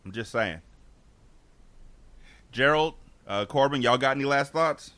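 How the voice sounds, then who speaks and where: A man's voice: mid-range voice, measured pace, a bit bright. A man hosting a casual podcast discussion, talking in a reflective, earnest way with frequent restarts. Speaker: podcast host.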